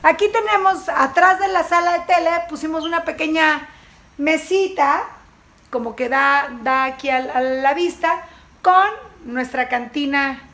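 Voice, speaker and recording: Mujer aguda y parlanchina en casa resonante. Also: female voice, sits high, a little slow, fairly bright tone. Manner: chatty, upbeat, points things out as she walks. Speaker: vlogger giving a home tour. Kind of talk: casual house tour walk-through. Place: rooms of a house, indoors. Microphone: handheld camera, close, moving. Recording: echoey rooms, clear background noise.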